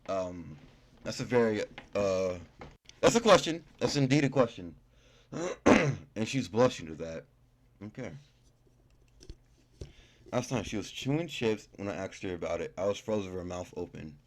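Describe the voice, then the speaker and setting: A man's voice: lowish voice, lively intonation, moderate pace, pauses often, slight background noise. A young man hosting a gaming video, casually reading game text aloud and commenting in slangy, informal speech, stumbling over words as he reads.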